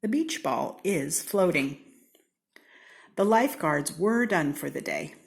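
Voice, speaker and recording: Casting: American woman, slightly low voice, unhurried and very animated, for children's picture-book read-alouds with playful emphasis. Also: vocal fry. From Seattle, United States. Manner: theatrical, deliberate, with playful emphasis and whispered asides. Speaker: storybook reader.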